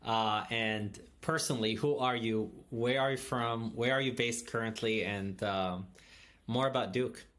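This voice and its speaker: A man's voice: medium pitch, unhurried, fairly bright tone. A man hosting a podcast, asking questions in an enthusiastic way. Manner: enthusiastic, repeats praise. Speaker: podcast host. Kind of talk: interviewing.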